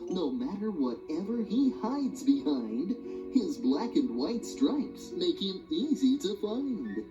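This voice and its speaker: A woman reading a rhyming children's animal alphabet book aloud in a sing-song, expressive way. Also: on the low side, slow pace. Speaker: storybook reader. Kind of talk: children's read-along narration. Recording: dull lo-fi audio with music behind.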